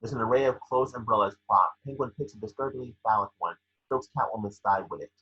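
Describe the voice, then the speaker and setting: Husky man narrating screenplay action. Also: medium pitch, quick pace, sharp tone, dull audio. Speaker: narrator reading scene descriptions.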